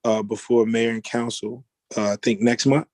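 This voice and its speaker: A man chairing a formal virtual meeting in a halting, courteous way. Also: mid-range voice, steady tone, a little mellow, dry recording.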